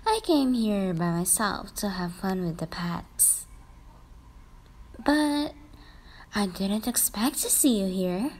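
A woman's voice: a little high, darkish tone, heavily acted. A woman voicing a catty, lovesick schoolgirl rival with an affected, sugary manner in a romantic roleplay. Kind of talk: romantic fan-fiction roleplay.

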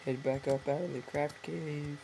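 Hoarse teen guy with a gravelly voice. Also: a little high, steady tone, moderate pace. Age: teens. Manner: rambling, self-correcting, talks to viewers. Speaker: gameplay commentator. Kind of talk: casual let's-play commentary. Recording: noisy home recording with typing.

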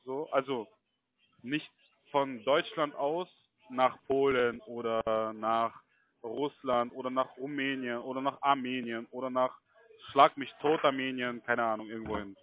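A man from Germany speaks at an ordinary pitch over a dry, tinny phone connection. His talk is casual and halting, circling back on phrases and checking that he is followed. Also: fairly even intonation, no room sound. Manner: halting, repetitive, insistent checking tags. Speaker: call center recruiter. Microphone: telephone.

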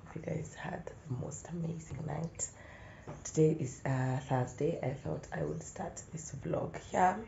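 Vlogger: young woman, stuffy with a cold, soft-spoken, mellow tone, roomy echo, a bit muffled. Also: sits low, a little slow, creaky, a little hiss or hum. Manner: soft-spoken, drifting into whispers. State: congested from a cold. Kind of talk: casual morning vlog talk. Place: outdoors in the morning with birdsong.

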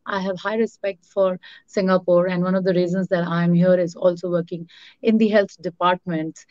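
A woman from Bihar with an Indian English accent, a native Bhojpuri speaker, talking as an interview guest. She talks rapidly with many fillers and mixes in Hindi. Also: on the low side, flat delivery, a little mellow, no echo. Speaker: interview guest, actress and producer. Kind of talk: casual interview talk. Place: indoor room at night, on a video call. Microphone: laptop or phone camera mic on a video call. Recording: dull, dry, lo-fi video call audio.

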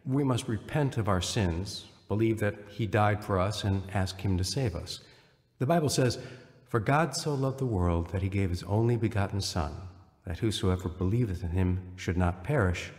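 An actor playing a calm, devout attorney, measured and plain-spoken, in a radio drama. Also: male voice, lowish voice, long reverb tail, natural voice. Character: calm, devout male court-appointed attorney.

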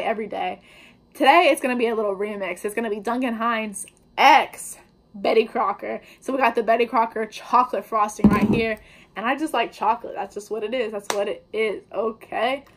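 Young female vlogger, higher pitch, casual slangy patter, recorded at home on the camera mic. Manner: chatty, upbeat, slangy. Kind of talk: casual baking vlog. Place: indoors at home, kitchen table before a wallpapered wall.

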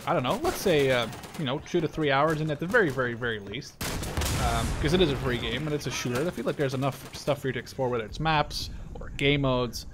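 A man's voice: a little high, slight background noise. A man doing casual video game commentary in a rambling, profane, easily distracted way. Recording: gunfire and clicks behind the voice. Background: video game gunfire.

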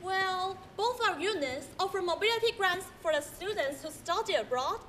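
Bright, very high young woman on stage. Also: animated, moderate pace, slight background noise. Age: young adult. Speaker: student actor playing Vicky and narrator. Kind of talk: school stage skit in English. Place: large indoor stage.

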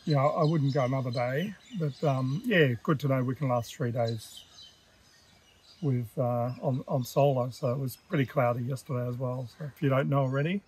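A relaxed Australian man in his fifties talks casually to camera at a shady bush campsite, with plenty of ums and uhs. His voice sits at an ordinary middle pitch. Soft outdoor noise and birdsong run underneath. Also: moderate pace, rough recording. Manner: relaxed, chatty, with many fillers. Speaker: travel vlogger. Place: outdoors in a pine forest campsite. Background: birdsong and bush ambience.

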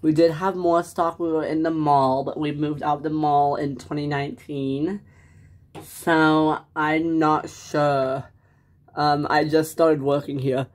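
A performer playing a hesitant, apologetic young male store clerk, halting and polite, in a pretend-play story. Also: medium pitch, little pitch movement, close to an everyday voice. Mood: hesitant, puzzled.

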